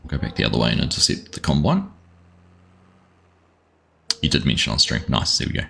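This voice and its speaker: A New Zealand man with a very deep, husky voice hosts a relaxed gaming livestream. He rambles easily between the game and his chat, greeting viewers by name. His talk is loose and unhurried, with plenty of fillers. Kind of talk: casual live-stream chat while playing.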